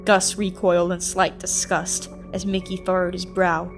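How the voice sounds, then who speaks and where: A woman's voice: lowish voice, level tone, moderate pace. Woman narrating a story over music. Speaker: fan fiction narrator.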